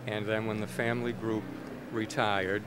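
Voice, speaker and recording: An older man with a lowish voice hosts the show and asks his questions haltingly, stumbling over small words. He holds a handheld mic at a convention, with steady crowd noise behind. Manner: hesitant, with repeated filler words. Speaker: host. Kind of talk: interviewer. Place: convention hall interview booth. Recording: noisy convention hall.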